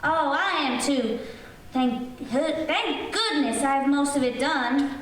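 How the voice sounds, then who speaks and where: A woman's voice: high-pitched, slow pace. A woman playing a breezy, catty teenage girl, chatty and casually disparaging, in old-time radio comedy style. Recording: distant, very echoey room with hiss.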